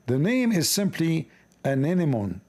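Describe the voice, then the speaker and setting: A man with an Arabic accent reading aloud haltingly and breaking off for sarcastic, joking commentary. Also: fairly high pitch, very animated, mellow. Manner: halting, stumbling reading of English.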